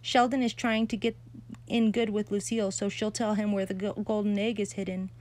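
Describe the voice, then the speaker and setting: A woman's voice: medium pitch, little pitch movement, fairly quick, darkish tone, close to an everyday voice. A woman voicing a bossy, competitive little girl who scolds and calls out. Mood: scornful.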